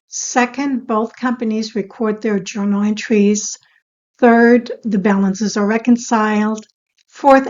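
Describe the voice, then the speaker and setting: A woman giving a scripted explainer as an instructor, in a measured, step-by-step teaching manner. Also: mid-range voice, muffled recording. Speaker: accounting instructor.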